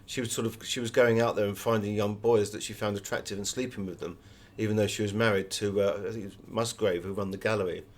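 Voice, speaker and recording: A man's voice: fairly low pitch, fairly even intonation, quick pace, slight background noise. A man speaking as a guest author in an interview, explaining things in a discursive, anecdotal way. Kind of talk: conversational interview, telling anecdotes.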